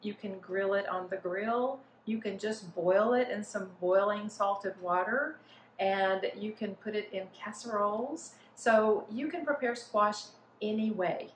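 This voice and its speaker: Older woman, cooking teacher, friendly explaining, mid-pitch, roomy kitchen echo, faint hum behind. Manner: friendly explanatory teaching, gestures while talking. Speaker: cooking instructor. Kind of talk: cooking demonstration. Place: home kitchen indoors. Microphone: camera at mid distance.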